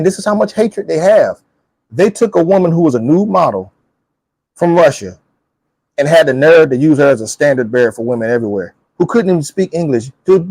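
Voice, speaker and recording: A man with a somewhat high-pitched voice talks politics in a loose, opinionated style. He is blunt and colloquial, hammering points by repeating them. The sound is close and dry. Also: dry recording, poor audio. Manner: animated, emphatic, repeats phrases. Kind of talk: opinionated casual political chat.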